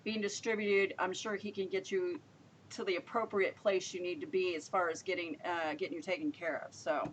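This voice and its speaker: A woman's voice: on the low side, fairly even intonation, normal speed, a little hiss or hum, poor audio. A woman hosting a casual online show, talking in a rambling way and often rephrasing herself. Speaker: show host.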